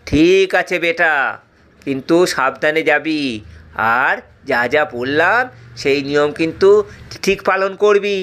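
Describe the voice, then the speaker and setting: A man voicing a calm, stern old holy man who gives slow, measured instructions. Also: on the high side, clear, resonant tone. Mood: instructing. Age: older.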